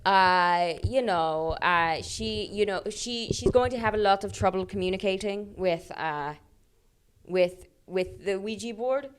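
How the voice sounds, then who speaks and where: A woman voicing an elderly male Swiss Ouija board expert in an improvised comedy bit. She speaks in broken English, drops articles, and keeps a mock-earnest deadpan. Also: mid-range voice, measured pace, clear, resonant tone, close to an everyday voice.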